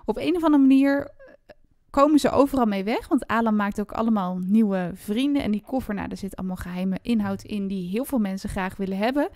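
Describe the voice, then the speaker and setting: A woman's voice: mid-range voice, fairly quick, a little mellow, no echo. A woman chatting casually about a book as a podcast co-host.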